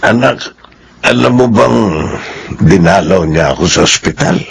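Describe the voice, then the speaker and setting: An ailing elderly father in a Filipino radio drama. He speaks very slowly in a low, creaky voice. His delivery is halting and tender, with faint noise behind it. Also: animated, full character voice. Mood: tender, weary. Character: ailing, gentle elderly father with failing eyesight.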